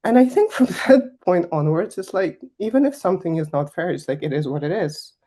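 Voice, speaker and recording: A woman's voice: lowish voice, brisk, dark tone. A young woman from Kazakhstan answering interview questions in a candid, emphatic way, with frequent laughs. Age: young adult. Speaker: guest finance executive.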